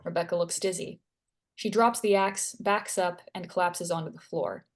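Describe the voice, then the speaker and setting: A woman's voice: fairly low pitch, steady tone, normal speed. A woman narrating stage directions from a screenplay at a table read.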